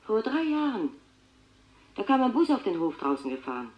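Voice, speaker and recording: A woman's voice: mid-range voice, normal speed, dull audio, lightly acted. An actress in a radio play voicing a troubled mother who recounts things about her son and quotes others as she goes. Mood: troubled recounting. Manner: animated, quoting others as she tells. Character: mother recounting her clairvoyant son's disturbing gift. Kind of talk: radio play acting, interview-like storytelling.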